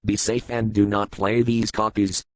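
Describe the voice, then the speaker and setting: Flat-toned man reading breaking news. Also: fairly low pitch, moderate pace, dry recording. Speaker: news announcer. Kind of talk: scripted breaking news narration.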